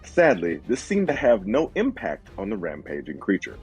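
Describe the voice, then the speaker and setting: Male narrator for fantasy action drama: low register, highly animated storytelling, faint music underneath. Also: moderate pace, husky.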